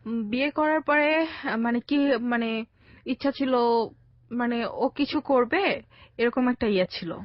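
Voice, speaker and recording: A young Bangladeshi woman with a fairly high voice recounts her own life as a guest. She talks in a halting, conversational way and drops in English words. The recording is dry and a little dull. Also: dry recording. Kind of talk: conversational personal storytelling. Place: radio studio. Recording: dry, slightly dull radio sound.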